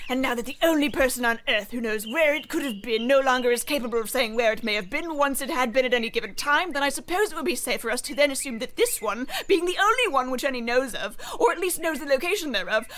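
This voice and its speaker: Lady playing a high voiced huntress, scheming n flustered, radio comedy, faint noise behind. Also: lively intonation, fast, hardly any pauses, a bit bright. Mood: matter-of-fact. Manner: talks herself through predicaments, rambling. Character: wily, panicky huntress. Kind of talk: scripted radio-play comedy acting. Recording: noisy recording.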